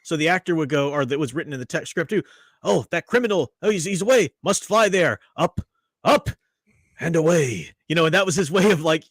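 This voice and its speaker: A man's voice: fairly high pitch, expressive, fairly quick, no room sound, clean studio sound. A man hosting a casual review chat, greeting live chat viewers as he talks. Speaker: podcast host.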